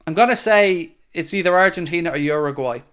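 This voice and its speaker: A man's voice: on the high side, a little fast, fairly bright tone, clear, resonant tone. A young man hosting a live fan stream, reading out viewer questions and answering in a chatty, upbeat way. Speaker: host of a football fan channel.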